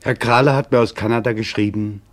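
A man narrating a documentary radio drama in a sober, deliberate, measured manner. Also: medium pitch, fairly even intonation.

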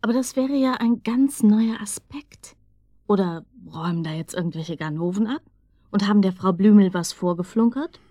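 An actress playing a shrewd, casual police colleague in a radio drama, speaking in a colloquial, speculative way. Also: female voice, medium pitch, normal speed, warm tone, no echo, studio quality, lightly acted. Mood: speculating. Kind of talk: naturalistic German radio drama acting.

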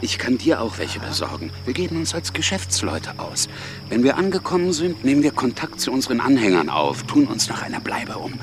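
A man's voice: medium pitch, big pitch swings, a little fast, noisy background, close to an everyday voice. A man acting a pragmatic, commanding revolutionary leader in a radio drama. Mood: persuasive.

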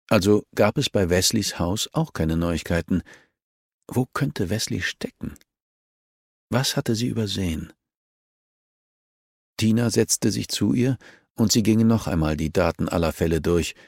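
A man's voice: low voice, gravelly, no room sound, clean studio sound. A man reading a crime novel as an audiobook narrator, in scripted narration.

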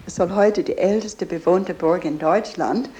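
A woman's voice: on the low side, a little slow, warm tone, rough recording. A woman giving guided tour commentary, explaining as she goes in slightly unidiomatic phrasing.

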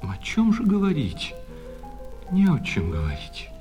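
An actor in a radio play voicing a lovesick shop clerk who swings between polite sales patter and bitter reproach. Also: male voice, fairly high pitch, wildly swooping pitch, unhurried, dark tone. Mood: pained, resigned. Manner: servile sales patter alternating with reproachful, insistent talk. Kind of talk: Russian radio play of a classic short story. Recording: long reverb with music behind.

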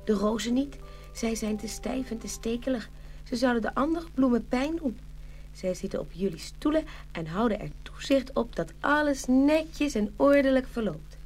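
A woman's voice: on the high side, animated, normal speed, a little mellow, a little hiss or hum, natural voice. A woman playing a friendly, festive flower who explains things confidingly in a children's fairy-tale audio play. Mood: friendly, explaining.

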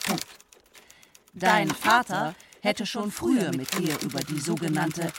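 An actress playing a prim, opinionated aunt in a radio play, formally dictating a letter with its punctuation. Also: female voice, on the low side. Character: prim, opinionated aunt writing to her niece. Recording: clear noise with clicks behind.

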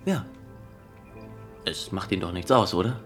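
A man's voice: sits low, normal speed, fairly bright tone, soft background music. An actor in a German radio drama playing a rigid, dutiful male inspector with formal, clipped, officious speech. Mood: cool, polite. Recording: noticeable ambient background noise.

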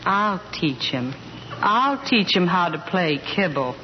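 An actress playing a sweet, teasing, romantic young wife in a 1940s radio comedy. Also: female voice, on the low side, very animated, slow. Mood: piqued. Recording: muffled, noisy 1940s radio broadcast.

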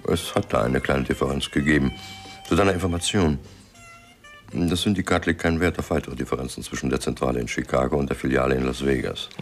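An actor playing a cold, commanding syndicate boss in a 1960s German radio crime drama, speaking with deliberate, curt menace. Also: male voice, a bass voice, soft background music. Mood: coolly menacing.

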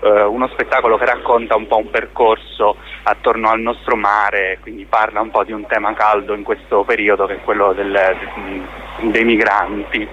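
A man's voice: fairly low pitch, little pitch movement, moderate pace, slight background noise. A man explaining things in an unscripted radio interview as a theatre company member, often hesitating and searching for words. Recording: dull, lo-fi radio sound.

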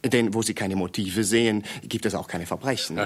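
An actor playing a deferential, long-winded amateur detective in a German radio play, earnest and pedantic, speaking in halting, ornate phrases with repeated words. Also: male voice, on the low side, steady tone, natural voice. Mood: admiring, intense.

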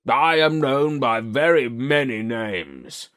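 A man's voice: lively intonation, slow pace, fairly bright tone. A man voicing a smug, mocking male Santa who bosses everyone around and prefers to be called Lucifer. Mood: smug, bossy. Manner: booming, bossy, cuts others off. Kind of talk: comedy sketch narration.

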